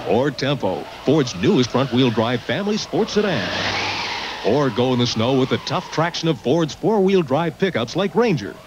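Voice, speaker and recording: A man's voice: mid-range voice, very animated, normal speed. A man announcing a scripted car commercial. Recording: muffled, noisy old TV commercial.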